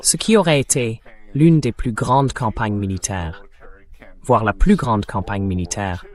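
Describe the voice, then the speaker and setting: A man's voice: on the low side, measured pace. A man speaking as a government official, giving dubbed public remarks to the media.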